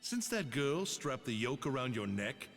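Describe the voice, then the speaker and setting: A bitter, taunting traitor soldier. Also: male voice, mid-range voice, sing-song, a bit bright, long reverb tail, faint music behind. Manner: cold, taunting, deliberate. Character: bitter treacherous middle-aged male soldier.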